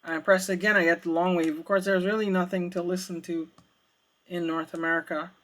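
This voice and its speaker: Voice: mid-range voice, flat delivery, brisk, a bit bright. A reviewer giving a casual product demonstration, thinking aloud in a hesitant way. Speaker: radio reviewer.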